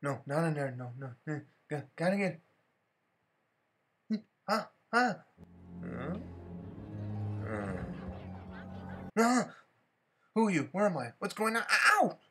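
A man's voice: a little high, big pitch swings, slow, long pauses, a bit bright, faint music behind, full character voice. A man voicing a cocky, breezy American rooster, a wisecracking drifter, in an amateur cartoon fan dub. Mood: groggy, confused.

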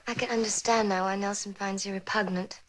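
An actress playing a young political secretary in a crime drama, cool, stubborn and defiant. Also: female voice, medium pitch, fairly even intonation, fairly quick, slight background noise, lightly acted. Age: 20s.

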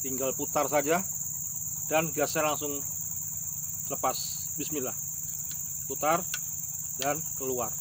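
A man's voice: a little high, fairly even intonation, takes it slowly, long pauses, background noise. A middle-aged man, chatting enthusiastically as a vlogger while cooking at an outdoor table, repeating his words of praise. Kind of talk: casual outdoor cooking vlog. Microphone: camera mic at close range.

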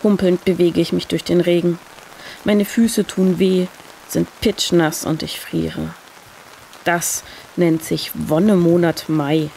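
A woman's voice: lowish voice, slow pace, vocal fry, poor audio. A woman narrating a scripted first-person short story as a reader. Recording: steady rain noise behind voice. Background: rain sound effect.

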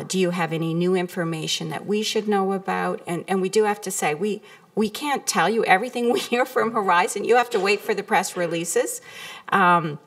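A woman giving a measured, earnest prepared presentation at a council meeting. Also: lowish voice. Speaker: community health group presenter. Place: council meeting room, indoors. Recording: distant meeting room microphone.

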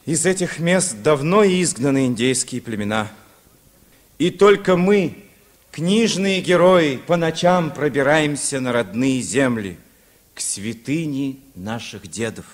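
An actor playing a noble, solemn legendary Native hero, declaiming poetically in a mid-century Soviet children's radio play. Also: male voice, medium pitch, lively intonation, a little slow, close to an everyday voice. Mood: mournful.

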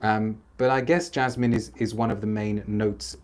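A man's voice: fairly low pitch, moderate pace, slight background noise. Enthousiaste man met lage stem, livestream thuis. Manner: enthusiastic, expressive, gestures a lot, frequent restarts. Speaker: perfume reviewer hosting a live stream. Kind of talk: unscripted live-stream chat, reading viewer comments. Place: small home room with shelves of perfume bottles. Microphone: camera at desk distance, seated.

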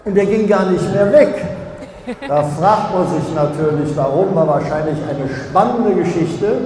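A man's voice: high-pitched, a little mellow. An older man interviewing a guest on stage in a sports hall, speaking formally into a handheld mic and rallying the audience. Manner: formal, announcing, rallying the crowd. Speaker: host interviewer. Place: large sports hall, indoors. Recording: echoey hall with crowd noise.

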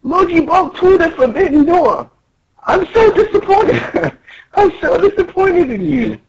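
A man voicing an excitable, scolding little cartoon adventurer who exclaims in a whiny way. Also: very high-pitched, measured pace, vocal fry, highs cut off, a little hiss or hum, heavily acted. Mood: alarmed, scolding.

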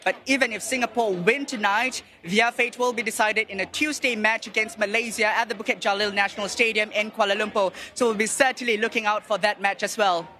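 A man's voice: high-pitched, a little fast, few pauses. A young man giving a live news report outdoors outside a stadium, speaking into a handheld mic and raising his voice over the crowd. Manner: energetic, raises voice over crowd. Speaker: field reporter.

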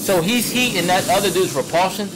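A man's voice: high voice, expressive, fairly quick, poor audio. A young American man giving a casual, slangy, exclamatory reaction commentary as a video host. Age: young adult. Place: small indoor room. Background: loud music from the video being watched.

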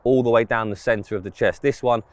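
A man's voice: medium pitch, fairly even intonation, a little fast, no echo. A man, giving a casual, plain-spoken product review to camera, outdoors on a close mic. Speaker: presenter of fishing clothing reviews. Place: outdoors in an open grass field.

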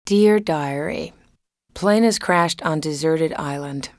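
A stranded woman talking to herself in a game drama. Her low voice moves slowly, wry and deadpan, in a very dry recording. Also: no room sound. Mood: wry, mock-tragic.

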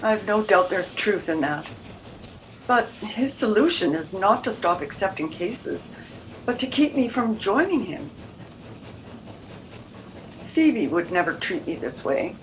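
An older woman plays an earnest Edwardian wife in a radio mystery. She speaks formally and carefully at a middling pitch, troubled but independent-minded, over a slightly noisy video call. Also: very animated, slow, pauses often. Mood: indignant. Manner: formal, careful period diction. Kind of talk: radio mystery play acting. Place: room at home, video call. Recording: video call with background noise.

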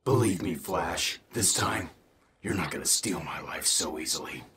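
A man voicing a cold, vengeful comic-book supervillain in a slow, deliberate, threatening growl. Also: lively intonation, pushed and bright, husky, heavily acted. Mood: cold menace. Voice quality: low menacing growl.

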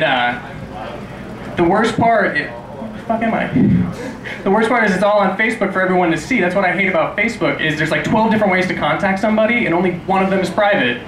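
A man in his twenties doing a sarcastic, profane stand-up routine at an open mic, ranting at his own expense. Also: on the high side. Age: 20s. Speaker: stand-up comedian. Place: small dim club stage, indoors. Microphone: handheld stage microphone. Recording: echoey room with clear background noise.

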